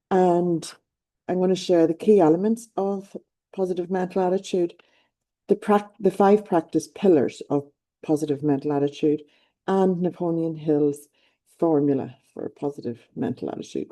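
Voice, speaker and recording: Low-voiced upbeat Irish woman coach. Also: warm tone. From Ireland. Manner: enthusiastic, upbeat. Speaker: personal development coach. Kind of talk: motivational talk to camera.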